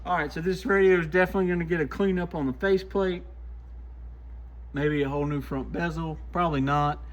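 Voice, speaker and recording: A man's voice: fairly high pitch, steady tone, fairly quick, muffled recording. A man giving casual hands-on testing commentary as a host, plainspoken and full of folksy slang. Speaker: host, CB radio shop technician testing used radios.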